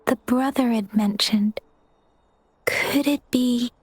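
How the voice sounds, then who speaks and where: A woman's voice: fairly high pitch, slow, husky, no echo. A woman playing an earnest, protective young heroine in a video game, with a thoughtful way of talking. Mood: concerned, thoughtful. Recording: faint game music behind.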